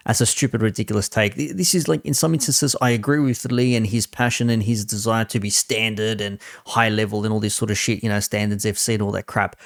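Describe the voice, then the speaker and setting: Low-voiced man, dry close sound, blunt. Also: vocal fry, dry recording. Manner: blunt, sweary, conversational. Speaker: host reacting to a football debate stream. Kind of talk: casual opinionated commentary.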